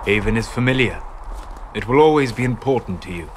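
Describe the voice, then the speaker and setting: An actor playing a scholarly, reflective elf mage in a fantasy game, speaking in measured phrases. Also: male voice, fairly low pitch, animated, natural voice. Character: pensive, scholarly male elf apostate mage. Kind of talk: video game cutscene dialogue. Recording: long reverb, noisy ambience behind.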